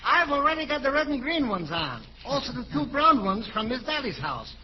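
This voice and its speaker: A man's voice: very high-pitched, very animated, brisk. An actor playing an eager, simple, folksy working man in 1940s radio comedy style. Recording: noisy, muffled old radio broadcast.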